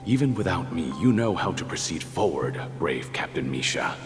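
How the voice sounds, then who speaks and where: A man's voice: low-pitched, sing-song, normal speed. An actor playing a brave male adventurer in a video game, resolute and encouraging. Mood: resolute, tender. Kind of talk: video game drama acting. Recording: long reverb, music behind.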